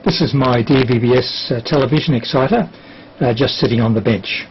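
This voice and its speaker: Noisy muffled recording, Australian man, mid-pitched voice, hesitant matter-of-fact technical presenter. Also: a little fast. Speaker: amateur television operator and presenter. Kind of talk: unscripted technical demonstration. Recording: dull lo-fi sound with clear hiss.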